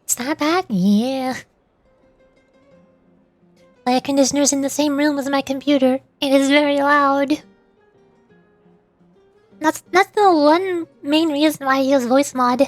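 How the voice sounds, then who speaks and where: A woman's voice: high voice, fairly even intonation, moderate pace, no room sound, soft background music. A giggly woman streamer chatting casually to her viewers on a livestream.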